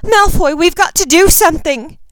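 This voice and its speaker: Pleading teen girl acted by a woman, very high, breathy, plaintive audiobook heroine. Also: slow, no room sound. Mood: frantic pleading. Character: distraught, pleading teenage girl in love.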